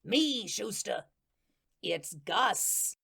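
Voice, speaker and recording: A woman's voice: medium pitch, sing-song, very slow, creaky, fairly bright tone, breathy edge, exaggerated voice. A woman playing a chatty, aggrieved ghost of a murder victim, telling the story in slangy, conversational radio drama style. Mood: plaintive, matter-of-fact. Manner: colloquial, ungrammatical, conversational storytelling. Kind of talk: first-person radio drama narration with acted dialogue.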